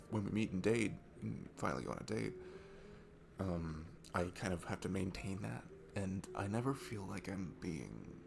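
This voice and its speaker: Guy acting as a nervous sweet shy young dude in an asmr roleplay, gravelly fry, awkward and rambly, noticeable background noise. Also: lowish voice. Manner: hesitant, rambling, self-deprecating, awkward nervous laughs. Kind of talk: ASMR roleplay, one-sided intimate conversation.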